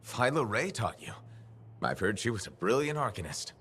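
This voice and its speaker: An actor playing a confident, easygoing freelancer hero in a video game, with a dry, teasing manner. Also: male voice, on the low side, big pitch swings, moderate pace, fairly bright tone, a little hiss or hum. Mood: curious, friendly. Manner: dry, wry. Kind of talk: game dialogue acting.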